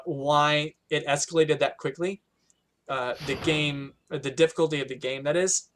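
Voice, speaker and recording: A man with a hoarse, strained voice hosting casual gameplay commentary, rambling with lots of restarts. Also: on the high side, fairly bright tone. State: hoarse, a little winded. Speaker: gaming channel host.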